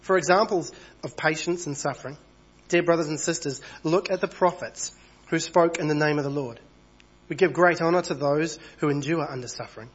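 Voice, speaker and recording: A man over thirty-five, husky from a cold, preaching an evening church sermon in a conversational way and reading passages aloud. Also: a little high, a little fast, muffled recording. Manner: conversational, reads passages aloud, small asides.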